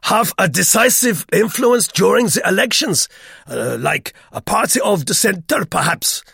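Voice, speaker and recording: A man's voice: high voice, lively intonation, creaky, pushed and bright, breathy edge, no room sound, studio quality, pitched above the performer's own voice, heavily acted. A man voicing a beaming, middle-aged man with a clipped, hilarious manner in an audiobook. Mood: mock grave. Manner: clipped, exclamatory French and halting English.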